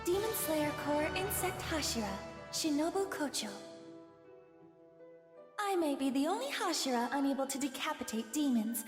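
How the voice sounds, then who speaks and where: A female warrior from an anime game with a high, airy voice. She is soft and playfully polite, always teasing underneath. The sound is echoey, with music behind.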